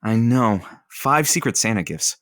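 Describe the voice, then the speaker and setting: A man's voice: lowish voice, lively intonation, measured pace, a bit bright, dry recording. A man playing a wry, self-deprecating radio producer and sidekick with a dry delivery in an audio comedy. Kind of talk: comedic radio drama acting.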